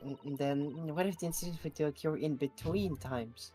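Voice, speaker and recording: A woman playing a polite, inquisitive young assistant in a game fan dub, phrasing her questions formally. Her voice sits low, with faint music underneath. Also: normal speed, dark tone, close to an everyday voice. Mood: inquiring.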